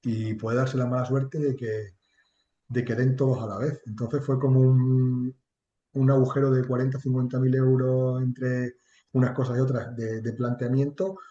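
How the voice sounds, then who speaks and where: A man with a Spanish accent from Spain talks casually and candidly as an interview guest. His voice is dark and relaxed at a medium pitch. The sound is roomy and slightly dull. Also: moderate pace. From Extremadura, Spain. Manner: colloquial and candid, with mild swearing. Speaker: interview guest, chatbot marketing entrepreneur.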